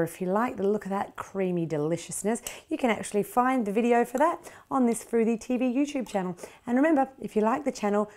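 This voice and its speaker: An enthusiastic Australian woman in her thirties runs a cooking demonstration, talking straight to the camera in a chatty, gushing way. Her voice is mellow and soft-edged. She is recorded indoors in a home kitchen. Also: mid-range voice. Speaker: cooking show host.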